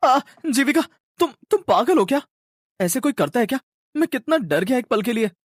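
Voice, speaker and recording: A man's voice: very high-pitched, very animated, normal speed, no room sound, studio quality, exaggerated voice. A man playing a scared, insistent college-age son in a horror story, anxious and stammering. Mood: frightened.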